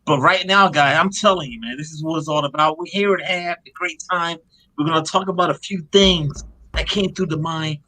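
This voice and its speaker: A man's voice: fairly high pitch, a little fast, sharp tone, low-quality recording. A man hosting a casual comic book live stream panel, laid-back and peppering his talk with "man.".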